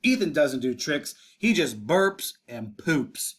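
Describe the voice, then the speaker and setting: An earnest young boy, acted out by a man reading a storybook, coaxing and persuasive. The pitch jumps around in a very lively way as he pleads his case. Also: slow. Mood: insistent. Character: eager pleading young boy writing letters to mom. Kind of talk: storybook read-aloud for children.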